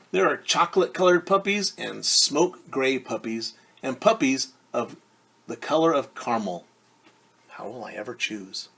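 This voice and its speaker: Man, storytime teacher, medium pitch, unhurried pace, expressive read-aloud, deliberate phrasing, wondering asides for children. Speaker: teacher reading a children's picture book aloud.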